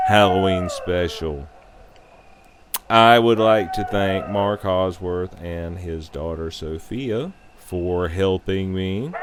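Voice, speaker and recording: A man hosting a podcast, talking casually on his own in a halting, rambling way. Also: on the low side, background noise. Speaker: podcast host. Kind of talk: casual solo podcast talk.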